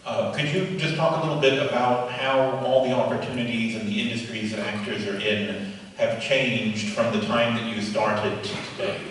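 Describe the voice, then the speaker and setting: Lowish-voiced man in a very echoey hall. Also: fairly even intonation, moderate pace, a bit bright. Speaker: panel questioner. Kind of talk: conversational questioning. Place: large theatre. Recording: echoey noisy hall, distant pickup.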